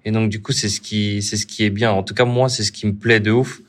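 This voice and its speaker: A young man, a footballer, answering interview questions casually with many restarts. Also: lowish voice. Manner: relaxed, halting, many restarts. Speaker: footballer guest.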